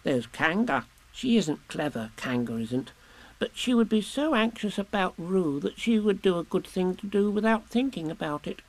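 A man's voice: sits high, animated, normal speed, voice pushed up, low-quality recording. A man voicing a very small, anxious young piglet in a children's storybook, musing in a fretful, wistful, hesitant way. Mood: worried musing.

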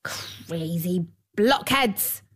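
A woman's voice: a little high, measured pace, close to an everyday voice. A woman voicing an irritable, rude little old male dwarf from a storybook, snapping and scolding. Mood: irritated, scolding. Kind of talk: storybook character voice.

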